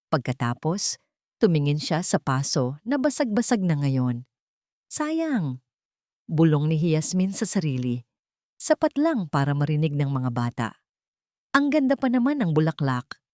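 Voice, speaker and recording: A woman with a Filipino accent narrating a dramatic story as an audiobook, phrasing it deliberately in short, suspenseful beats. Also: fairly low pitch, darkish tone, no echo, studio quality.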